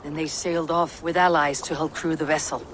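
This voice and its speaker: A woman playing a steady, observant Viking warrior in a video game, terse, thoughtful and matter-of-fact. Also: deep voice, a little fast, a little hiss or hum, natural voice. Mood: focused musing. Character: steady, observant female Viking warrior leader investigating clues. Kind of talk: video game character acting.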